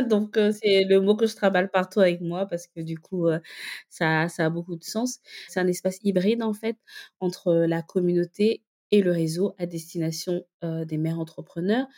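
A woman being interviewed in a casual conversation, founder of a community for entrepreneur mothers, explaining her views and often pausing to find her words. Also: fairly low pitch, a little mellow. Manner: explanatory, thinks aloud with many hesitations.

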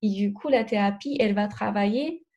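A Brazilian woman speaking French with a Brazilian accent, a therapist guest in a podcast interview, talking hesitantly with many pauses and restarts. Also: mid-range voice, warm tone, dull audio. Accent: Brazilian Portuguese.

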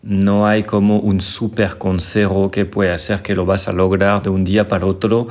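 Male podcast host, native French speaker, heavy French accent in Spanish, lower register, warm explainer who stumbles and repeats short words. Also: level tone, normal speed. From France. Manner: friendly, explanatory, many small repetitions and restarts. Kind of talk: casual podcast Q&A.

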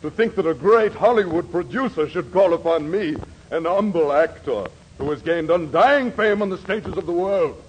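Man hamming it up as a pompous vain old-school thespian, high voice, old radio comedy. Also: natural voice. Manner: grandiloquent, theatrical. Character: pompous, vain, gushing ham stage actor. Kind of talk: 1940s radio comedy acting. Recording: faintly noisy 1940s radio recording.

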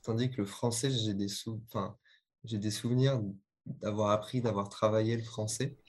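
Young man, somewhat low voice, laid-back interviewee talking casually. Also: normal speed. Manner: relaxed, casual, slightly laughing. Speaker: interviewee, son.

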